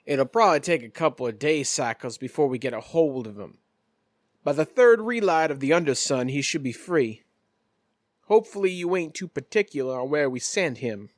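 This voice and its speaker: Man acting a crime boss, folksy, courteous, commanding, slightly high voice, close dry sound, tabletop game. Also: expressive, normal speed, dry recording, close to an everyday voice. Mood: businesslike. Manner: drawling folksy phrasing, polite authority.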